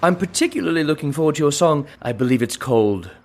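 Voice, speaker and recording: An actor playing a prim, butler-like gentleman with courtly, flowery and pompous manners in an audio drama. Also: on the high side, animated, quick pace, slight background noise. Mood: courteous, smug. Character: prim, butler-like gentleman posing as talent scout.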